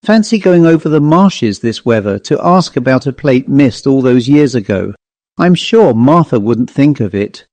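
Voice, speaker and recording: A man's voice: mid-range voice, lively intonation, moderate pace, darkish tone, no echo, lightly acted. A man voicing a cautious, disapproving genteel woman in a storybook reading, prim and conversational. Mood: dismissive.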